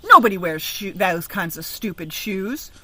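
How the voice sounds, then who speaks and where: A woman's voice: medium pitch, big pitch swings, moderate pace, pushed and bright, no room sound, full character voice. A woman voicing a pushy young neighborhood bully boy in a storybook, scornful, growling and snapping.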